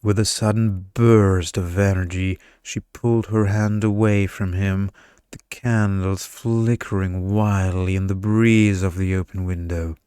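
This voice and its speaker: A man reading a story in ASMR bedtime style, with a measured, deadpan delivery. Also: low voice, smooth, no echo, clean studio sound.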